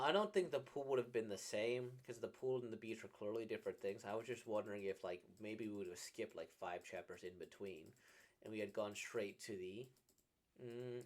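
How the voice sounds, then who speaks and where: Low-voiced man rambling in casual commentary. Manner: rambling, thinking out loud. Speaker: streamer reacting to a visual novel. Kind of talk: casual off-the-cuff game commentary.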